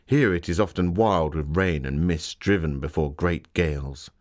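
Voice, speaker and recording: A very low-voiced gentleman author, acted by a man, introduces his own tale for an exhibit. He is genteel and measured, a storyteller setting each scene with care. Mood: impressed, genial. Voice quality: deep. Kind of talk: scripted museum exhibit narration, in character.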